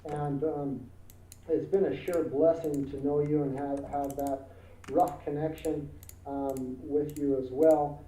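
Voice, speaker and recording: A man hosting a podcast, talking in a halting way with stammered restarts and lots of fillers. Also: on the high side, little pitch movement, dark tone. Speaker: podcast host. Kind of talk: casual interview talk. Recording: muffled, roomy, distant with faint noise.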